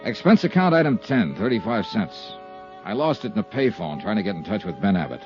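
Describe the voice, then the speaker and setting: A man's voice: lowish voice, sing-song, brisk, faint music behind. A man playing a hard-boiled insurance investigator who narrates an old radio detective drama in a firm, pressing way. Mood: narrating. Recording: dull old radio recording.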